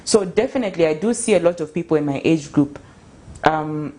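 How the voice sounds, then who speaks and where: A woman's voice: deep voice, brisk, mellow. A young Zambian woman, an author guest, talking earnestly and passionately in a casual interview, with frequent restarts. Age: young adult.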